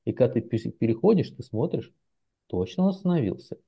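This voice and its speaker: A man's voice: mid-range voice, fast talker, creaky, slightly rough, lo-fi sound. A man, a professional translator, talking casually as an interview guest, telling stories loosely with fillers and restarts. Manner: relaxed storytelling with many fillers and restarts.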